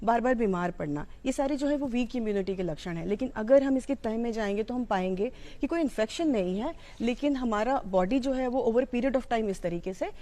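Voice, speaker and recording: A woman's voice: fairly high pitch, lively intonation, fairly quick, few pauses, warm tone. A woman with an Indian accent giving an informal, explanatory educational talk, mixing in English terms. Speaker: psychology educator.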